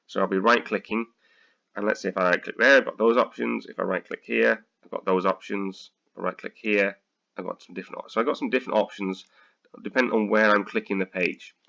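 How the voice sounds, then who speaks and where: Man, British accent, deep gravelly voice, slightly croaky, hesitant tutor, lots of ums, dull lo-fi mic. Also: steady tone, brisk, pushed and bright. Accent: British English. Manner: hesitant, many ums and restarts. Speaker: accounting tutor. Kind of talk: screen-recorded software tutorial.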